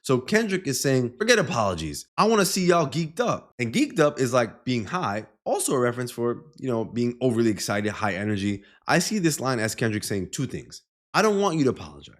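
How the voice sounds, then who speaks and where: Male commentary host, middle register, highly animated pitch movement, emphatic and opinionated explainer delivery. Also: brisk. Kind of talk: explainer commentary breaking down rap lyrics.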